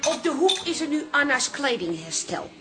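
An actress playing a friendly, excitable and easily flustered barmaid in a radio drama. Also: female voice, high-pitched, normal speed, faint music behind. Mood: firm, evasive. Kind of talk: modern radio drama acting.

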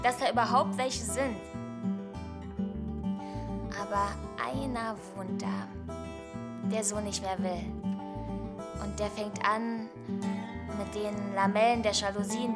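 A woman's voice: fairly high pitch, natural voice. An actress playing a pensive female storyteller, spinning a dreamlike tale in a radio play. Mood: brooding, measured. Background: prominent plucked guitar music.

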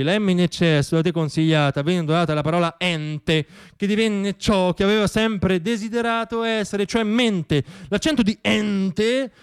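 Wry theatrical male monologist, clear voice. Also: sits high. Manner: wry, ironic, dramatic phrasing with pauses and swells. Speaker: solo theatre performer and monologist. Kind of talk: theatrical radio monologue, storytelling addressed to "you".

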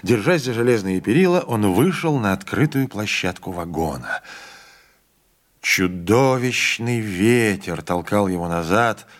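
Male audiobook reader, lower voice, measured classic narration. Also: animated. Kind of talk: literary narration.

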